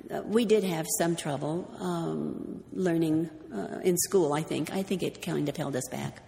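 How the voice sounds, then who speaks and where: Woman with a low voice in an echoey hall. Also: a little slow, vocal fry, warm tone. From New Mexico, USA. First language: Greek. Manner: hesitant, with many ums and restarts when answering. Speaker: speaker giving a history talk. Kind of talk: reading a story aloud, then answering audience questions. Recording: echoey hall, faint background noise.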